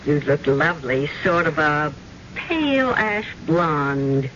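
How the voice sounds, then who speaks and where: An actress playing a timid, wistful middle-aged schoolteacher in an old-time radio drama, earnest and theatrical. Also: female voice, sits low, lively intonation, slow, fairly bright tone, exaggerated voice. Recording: muffled, noisy vintage radio recording.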